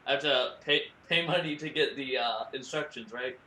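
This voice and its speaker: A man making a prank call in a halting, awkward, deadpan and naive-sounding conversational manner. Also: mid-range voice. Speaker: prank caller. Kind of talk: casual improvised phone conversation. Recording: roomy echo with faint background noise.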